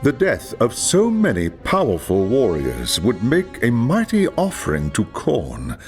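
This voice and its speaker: A man playing a wise, grave elven lore master in a video game, measured and portentous. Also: mid-range voice, huge pitch swings, a little mellow, soft background music. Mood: grave warning. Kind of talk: dramatic video game acting.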